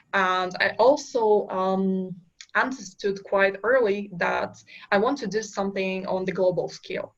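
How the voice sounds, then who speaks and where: A woman's voice: medium pitch, vocal fry, highs cut off. A young woman from Kazakhstan, a startup founder answering interview questions in a hesitant way with many fillers and restarts. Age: young adult. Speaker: startup founder, podcast guest.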